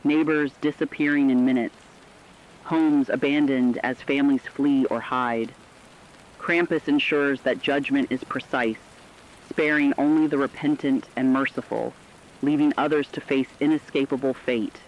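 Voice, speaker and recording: A man's voice: mid-range voice, steady tone, moderate pace, natural voice. A man playing a grave radio reporter, delivering formal, solemn warnings of terror as scripted dramatic news. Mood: grave, foreboding. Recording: dull lo-fi sound, hissy rain noise.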